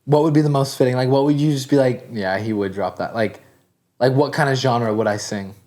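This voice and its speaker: A man's voice: mid-range voice, a little fast, a little mellow. Laid-back young man, chatty podcast co-host. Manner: laid-back, rambling with many "like" fillers. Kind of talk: casual chat.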